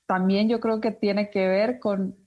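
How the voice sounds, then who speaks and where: A woman from Ecuador speaks as an academic guest in an interview, at a medium pitch. She answers reflectively and often pauses with fillers and restarts. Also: fairly even intonation, lo-fi sound. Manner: thoughtful, many fillers and restarts. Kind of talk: interview conversation.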